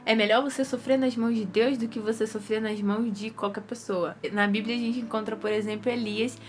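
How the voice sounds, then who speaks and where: A woman's voice: fairly high pitch, a little hiss or hum. A young woman with a Brazilian Portuguese accent gives an earnest, casual devotional talk to her viewers, her nose stuffy from rhinitis. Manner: earnest, repetitive, conversational.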